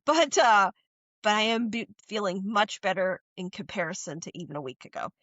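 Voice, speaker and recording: A woman's voice: medium pitch, expressive, normal speed, a bit bright, dry recording, dull audio. A woman co-hosting a casual book podcast, sounding stuffy from a cold, with an upbeat, chatty delivery. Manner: upbeat scripted intro, then chatty. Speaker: podcast co-host.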